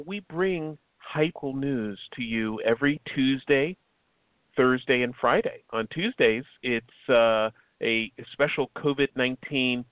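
A man's voice: mid-range voice, clean tone, no echo. A man hosting a community radio show, reading out the station break and the show schedule in an announcer-like way. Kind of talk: host's station break and chat. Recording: dry phone-like line.

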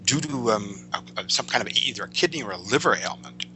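An older American man speaking as an interview guest, reminiscing in a discursive, halting way and often correcting himself. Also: medium pitch, animated, a little hiss or hum, low-quality recording. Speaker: podcast guest, veteran writer.

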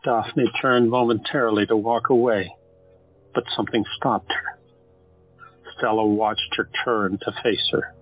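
A man narrating an audio drama in a steady, measured storytelling style. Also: lowish voice. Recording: tinny phone-like audio, faint music.

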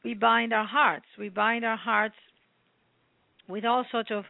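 A woman with a Greek accent speaks earnestly about her book, pausing often on "uh" as she searches for words. Her voice sits fairly high with a gravelly fry, and it comes through a tinny, dry phone line. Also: fairly even intonation, dry recording. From Greece. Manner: rambling, earnest, with many "uh" pauses. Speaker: author guest. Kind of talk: phone interview.

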